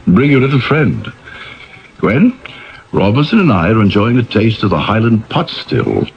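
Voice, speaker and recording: Jovial, commanding literary husband, swooping theatrical delivery in a 1970s radio play, muffled old broadcast sound. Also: male voice, fairly low pitch, sing-song, moderate pace. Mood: jovial host. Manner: urbane, wordy, theatrical. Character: jovial, domineering husband and father, a famous writer. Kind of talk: 1970s radio drama acting.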